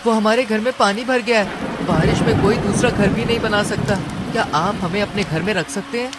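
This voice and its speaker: A man's voice: high-pitched, moderate pace, lightly acted. A man voicing a worried, polite male mouse in a cartoon story, earnest and pleading. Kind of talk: Hindi cartoon story dialogue. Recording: clear rain and ambience noise.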